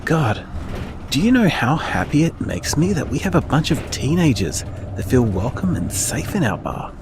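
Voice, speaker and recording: A man's voice: fairly high pitch, sing-song, moderate pace, noisy background. A man playing a kind-hearted, affectionate bar owner, rambling casually and earnestly as if leaving a voicemail in an audio drama. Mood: warm, earnest.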